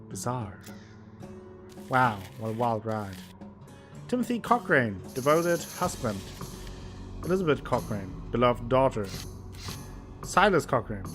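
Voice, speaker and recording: Male let's-player, playful, reads game text aloud, steady middle pitch, music bed. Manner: playful, reads narration with exaggerated pitch swings. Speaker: gameplay streamer. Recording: music playing underneath.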